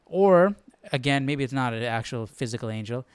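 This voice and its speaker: A man's voice: mid-range voice, lively intonation, moderate pace, clean tone, no echo. A man hosting a Bible study livestream, talking casually and thinking aloud in questions. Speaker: host of a live Bible study.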